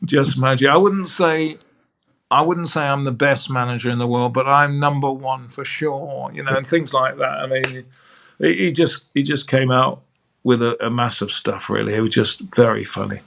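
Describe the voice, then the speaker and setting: A man's voice: mid-range voice, steady tone, moderate pace, gravelly, fairly bright tone, slight background noise. An older man, a veteran sports broadcaster, telling fond anecdotes in a chatty interview, often restarting his sentences.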